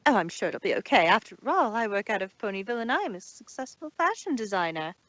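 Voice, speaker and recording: A woman's voice: medium pitch, sing-song, fast, fairly bright tone, no echo, voice pushed up. A woman voicing an elegant adult female pony fashion designer with an airy, rambling, theatrical manner in a story reading. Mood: chatty, breezy.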